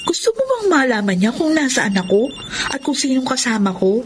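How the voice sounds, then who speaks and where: A woman's voice: high voice, very animated, a little fast, a little hiss or hum. An actress playing a guilt-ridden young female student with a secret affair in a Tagalog radio drama. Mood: secretive, teasing. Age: young adult.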